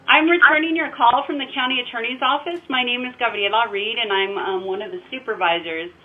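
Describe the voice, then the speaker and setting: An American woman with a fairly high voice speaks over a thin telephone line. She is polite and measured, with the careful formal wording of an office supervisor. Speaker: county attorney's office supervisor. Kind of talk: formal phone conversation.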